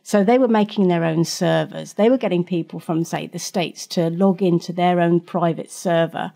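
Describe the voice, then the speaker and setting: Middle-aged woman, gravelly, chatty expert guest on a podcast. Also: fairly low pitch, steady tone, moderate pace, mellow. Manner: chatty, frequent restarts, laughs easily. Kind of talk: casual interview answers.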